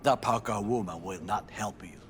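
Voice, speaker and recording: An actor playing a grave, proud middle-aged Japanese ex-bodyguard in a video game, speaking formal, clipped English with a Japanese accent. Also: male voice, lowish voice, moderate pace, close to an everyday voice. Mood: stern, guarded. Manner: formal, clipped, stern phrasing. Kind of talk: video game dialogue acting.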